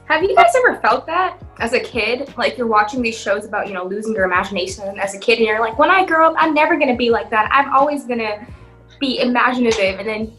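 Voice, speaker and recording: Young woman, very bright tone, slightly high pitch, animated casual podcast chat among friends, faint music. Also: fast, poor audio. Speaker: podcast co-host.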